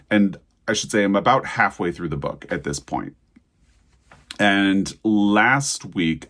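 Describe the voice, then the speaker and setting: A man hosting a casual book vlog, talking conversationally with digressions and self-corrections. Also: sits low. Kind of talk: casual book chat to camera.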